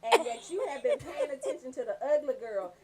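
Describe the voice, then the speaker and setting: A woman with a Southern Atlanta accent hosting comedic radio banter, telling stories in an animated, emphatic way. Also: sits high, brisk, over a noisy crowd. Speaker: radio show host.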